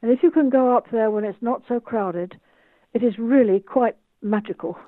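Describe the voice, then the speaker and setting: A woman's voice: on the high side, moderate pace, no echo. A woman in her eighties from the United Kingdom, an author and tour guide speaking enthusiastically in an interview over a video call. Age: 80s. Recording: tinny phone-like call line.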